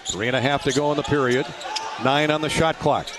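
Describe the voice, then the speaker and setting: A man's voice: mid-range voice, fairly quick. An American man doing live play-by-play radio commentary of a basketball game. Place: indoor basketball arena. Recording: clear arena noise behind, ball bounces. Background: game ambience, bouncing balls.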